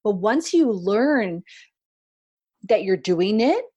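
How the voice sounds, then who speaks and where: A woman's voice: mid-range voice, big pitch swings, slow, warm tone, slightly rough, lo-fi sound. A woman co-hosting a podcast, chatting casually, reflective and enthusiastic. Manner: reflective, enthusiastic, mimics sing-song labeling. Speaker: podcast co-host. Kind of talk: casual conversational chat.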